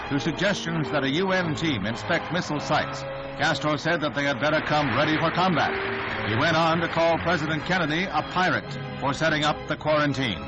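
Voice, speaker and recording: An American man reading scripted 1960s newsreel narration in a formal, dramatic, declamatory manner. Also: on the high side. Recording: dull vintage 1960s newsreel soundtrack. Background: loud orchestral newsreel music.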